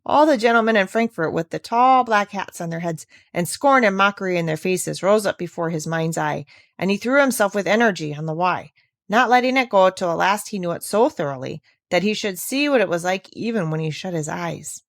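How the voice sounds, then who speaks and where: A woman's voice: on the low side, lively intonation, fairly quick, dry recording, studio quality. A woman reading a children's classic aloud as a scripted storybook narrator.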